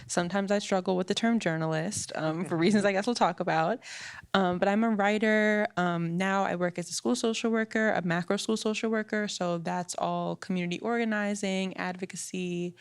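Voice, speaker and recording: A young woman in her twenties from Pittsburgh, introducing herself on a panel in a relaxed, modest way with frequent ums. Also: medium pitch. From Pittsburgh, USA. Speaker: panelist, writer and social worker.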